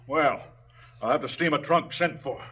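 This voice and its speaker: An actor playing a callous, blustering male crime boss in a stylized radio drama, slangy and falsely fatherly with a husky voice. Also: on the high side, normal speed, a bit bright. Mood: mock-generous.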